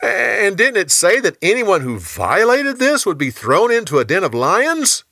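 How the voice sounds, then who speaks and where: A man voicing scheming, flattering royal officials in a Bible story, fawning and drawing words out with feigned reluctance. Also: sits high, measured pace, dry recording, studio quality, voice pushed up, full character voice. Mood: feigned concern.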